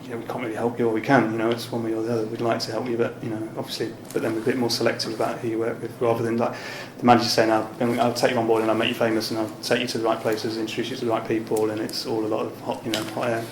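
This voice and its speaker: A man conducting a relaxed, informal interview in a flat, even tone. His voice is medium-pitched, recorded in an echoey space with noticeable background noise. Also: rapid-fire, few pauses. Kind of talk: casual interview chat.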